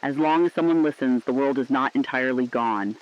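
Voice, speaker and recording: A man's voice: medium pitch, a little fast, highs cut off, lightly acted. A man playing a weary but hopeful broadcaster in an underground relay, speaking in a solemn, poetic, reflective style. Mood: reflective, resolute. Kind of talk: scripted apocalyptic radio broadcast narration.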